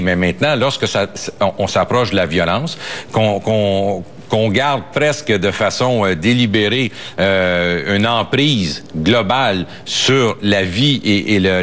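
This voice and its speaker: Low-voiced Quebec French man giving commentary. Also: fairly bright tone. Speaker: police and legal affairs commentator. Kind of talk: interview commentary, unscripted opinion.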